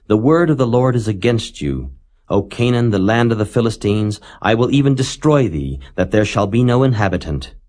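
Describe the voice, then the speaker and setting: A man reading scripture aloud in a solemn, measured way, rising dramatically on the warnings. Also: on the low side, darkish tone. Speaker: Bible reader.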